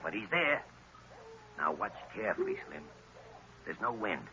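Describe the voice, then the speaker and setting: An actor playing a hot-tempered, sneering gang boss who barks orders and shouts at his men, in 1940s radio acting on a lo-fi old broadcast. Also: fairly low pitch, very animated, normal speed, background noise. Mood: scheming.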